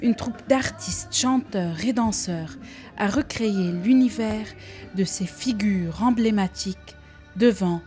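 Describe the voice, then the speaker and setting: A woman reporter reading a measured news voice-over. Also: mid-range voice, over music. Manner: even, measured narration.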